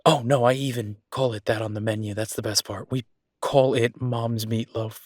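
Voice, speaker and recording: A man playing an affectionate, playfully grumbling young werewolf chef in an intimate, casual boyfriend roleplay audio, chatty and halting. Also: medium pitch, fairly even intonation, dry recording, clean studio sound, lightly acted. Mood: fond, enthusiastic.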